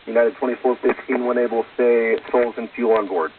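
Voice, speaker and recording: A man's voice: medium pitch, steady tone, brisk. A male air traffic controller giving procedural, clipped instructions over aviation radio. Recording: muffled, phone-like air traffic radio, distant.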